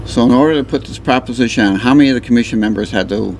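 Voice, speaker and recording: Quick man asking pointed questions. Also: mid-range voice, darkish tone, slight background noise, low-quality recording. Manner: pointed questions, punning jokes. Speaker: radio show panelist. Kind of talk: casual radio talk.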